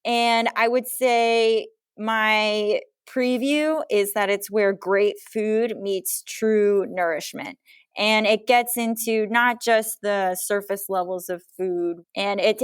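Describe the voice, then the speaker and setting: Smooth-voiced woman, dry close sound. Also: mid-range voice, dry recording. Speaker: guest novelist. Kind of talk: casual interview conversation.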